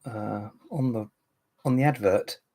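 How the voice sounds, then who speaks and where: A man in his late fifties hosts a relaxed live stream, rambling from one topic to the next and picking up viewers' comments as they come in. His voice is slightly husky, and the sound is close and dry. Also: lowish voice, a little slow, dry recording. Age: 50s. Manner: rambling, chatty, reads and answers viewer comments. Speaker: livestream host. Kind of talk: casual live-stream chat.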